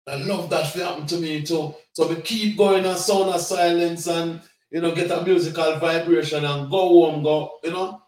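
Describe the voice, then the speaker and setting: A man's voice: on the high side, moderate pace, hardly any pauses, recorded from a distance. Older Jamaican man, echoey off-mic. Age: 60s. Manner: relaxed, laughing, patois phrases. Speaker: guest reggae singer. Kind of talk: casual interview storytelling.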